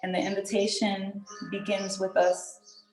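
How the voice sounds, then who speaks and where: A woman leading a guided group meditation in an unhurried, soothing way, pausing often between phrases. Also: medium pitch, level tone. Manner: unhurried, soothing instruction with pauses and check-in tags. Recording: roomy echo with music behind.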